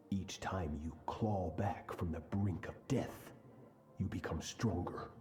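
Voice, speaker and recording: An actor playing a cold, merciless sword master in a video game, speaking in a stern, solemn, commanding manner. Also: male voice, deep voice, darkish tone, a little hiss or hum. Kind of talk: scripted dramatic video game dialogue.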